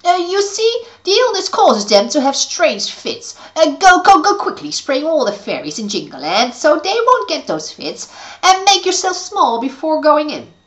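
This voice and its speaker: A woman's voice: high voice, big pitch swings, moderate pace, voice pushed up. A woman voicing a scheming, mean female witch who gloats and cackles. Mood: hurried. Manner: cackling, sly and exaggerated.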